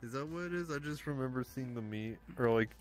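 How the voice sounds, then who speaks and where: A man in relaxed, casual game chat. His pitch is average, and music plays faintly behind him. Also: fast, low-quality recording.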